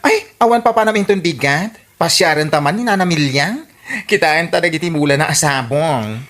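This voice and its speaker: An actress plays a friendly, gossipy neighbour woman in an Ilocano radio drama. Her voice is low for a woman, and she chats in an Ilocano accent. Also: moderate pace, a bit bright. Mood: chatty.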